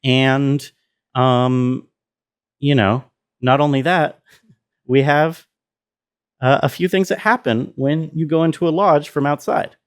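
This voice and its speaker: A man's voice: medium pitch, steady tone, takes it slowly, pauses often, clear, resonant tone. A man explaining sound design step by step in an informal tutorial style, often dropping in "you know" as he goes. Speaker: sound designer presenting a walkthrough.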